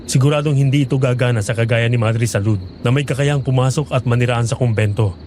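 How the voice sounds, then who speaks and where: An actor playing a serious, cautious young monster hunter who explains things respectfully in a Filipino horror audio drama. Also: male voice, medium pitch, fairly even intonation, fast, darkish tone, a little hiss or hum, lightly acted. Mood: grave, resolute.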